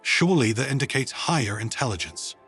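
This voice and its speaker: A man's voice: medium pitch, sing-song, a little fast, fairly bright tone, husky, faint music behind. A man voicing an earnest, insistent bird-like alien in a narrated sci-fi story, solemnly convinced.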